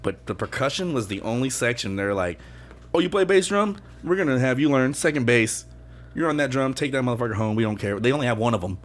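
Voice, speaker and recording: A man's voice: medium pitch, lively intonation. A man co-hosting a podcast, blunt and profane in casual chat. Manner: blunt and profane, jumps in with quick reactions. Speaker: podcast co-host.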